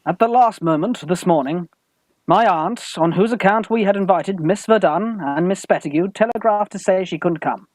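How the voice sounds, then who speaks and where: A man playing an earnest, flustered young gentleman suitor in a theatrical radio farce, speaking formally and haltingly. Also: sits high, lightly acted, poor audio. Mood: earnest, apologetic.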